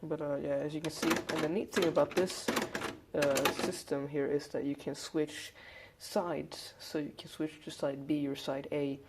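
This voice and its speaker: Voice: medium pitch, level tone, a little slow, background noise. A hobbyist presenter giving a casual hands-on demonstration, explaining things informally step by step.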